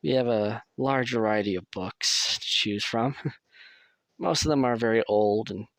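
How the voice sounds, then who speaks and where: A man's voice: on the low side, a little slow, a bit bright, no echo. A man acting as a nervous, friendly young asylum patient in an audio roleplay, talking in a hesitant, confiding way. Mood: relieved, confiding.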